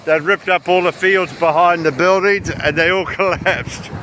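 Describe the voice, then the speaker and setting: A man's voice: high voice, fairly even intonation, moderate pace, bright, forceful tone, rough recording. A man giving casual, rambling commentary as a travel vlogger, walking outdoors along a beach. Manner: rambling, chatty. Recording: windy outdoor recording with surf noise. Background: wind, waves, outdoor ambience.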